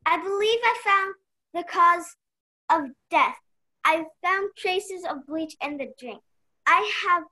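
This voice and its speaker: A young woman playing a brisk, bossy investigator in a mystery radio play, formal and officious. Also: extremely high pitch, lively intonation, pushed and bright, full character voice. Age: young adult. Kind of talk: stage-style mystery radio play acting.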